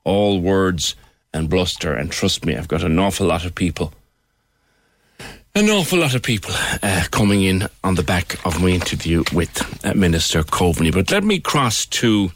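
A man's voice: low voice, big pitch swings, normal speed. An Irish man hosting a current affairs radio phone-in, chatty and probing, repeating phrases for emphasis.

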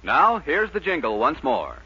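A man's voice: on the high side, wildly swooping pitch, unhurried, pushed and bright, slightly rough. An American man reading a 1940s radio commercial as an announcer, emphatic and punchy. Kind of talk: 1940s radio sales pitch. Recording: muffled 1940s radio recording.

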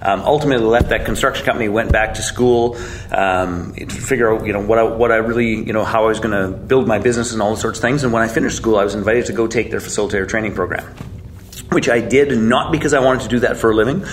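A man's voice: fairly low pitch, steady tone, quick pace, a little hiss or hum. Blunt, self-mocking man telling a story. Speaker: guest. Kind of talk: casual storytelling.

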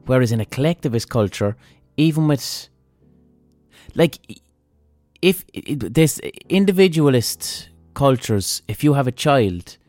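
A man's voice: medium pitch, darkish tone, no echo. A man with an Irish accent hosting a podcast, talking bluntly in a casual monologue, swearing freely and thinking aloud as he goes. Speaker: podcast host.

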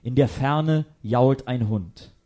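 A man playing the narrator of a comic mystery radio play, measured and mock-serious in his scripted narration. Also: mid-range voice, warm tone, natural voice. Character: solemn storybook-style narrator of a kids' mystery parody.

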